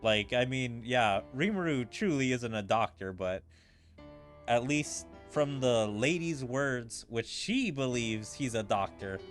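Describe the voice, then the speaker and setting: Slow-paced man commentating on a game. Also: medium pitch, expressive, soft background music. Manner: slangy, informal. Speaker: gaming streamer. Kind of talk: casual let's play commentary, reading game dialogue aloud.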